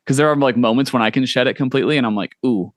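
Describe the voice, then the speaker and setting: A young man hosting a podcast interview, talking in a relaxed, casual way, full of "like" and "you know", quick to agree and encourage. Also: medium pitch, no room sound, studio quality. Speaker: podcast host.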